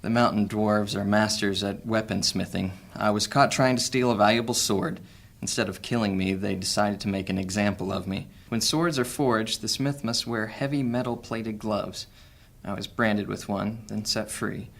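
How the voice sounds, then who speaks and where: An actor playing a cunning, guarded male master thief in an audio drama, speaking in a measured, sly way. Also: lowish voice, steady tone, vocal fry, fairly bright tone.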